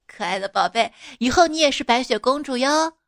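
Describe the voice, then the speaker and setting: A woman's voice: high voice, animated, moderate pace, no room sound, studio quality. A woman playing a playful, teasing mother who coaxes a stubborn child in a comic bedtime sketch. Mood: coaxing, amused. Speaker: storyteller and performer. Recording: music behind the voice.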